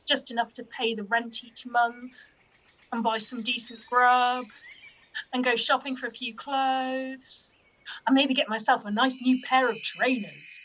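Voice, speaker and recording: A woman playing a cheeky, excitable, money-minded young daughter in a radio pantomime. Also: a little high, measured pace, poor audio. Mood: wistful.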